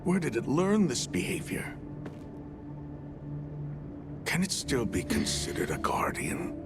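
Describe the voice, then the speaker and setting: An actor playing a grave, cautious village keeper in a game cutscene, speaking in formal, measured phrases. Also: male voice, mid-range voice, sing-song. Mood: solemn, troubled. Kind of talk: dramatic video game cutscene acting. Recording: music behind the voice.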